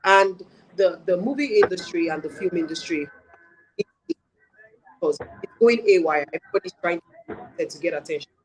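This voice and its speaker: A woman's voice: on the low side, animated, moderate pace, long pauses, breathy edge, rough recording. A woman with a Nigerian accent interviewing in a conversational, affirming way as host. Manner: affirming, agreeing with the guest. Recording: faint background noise.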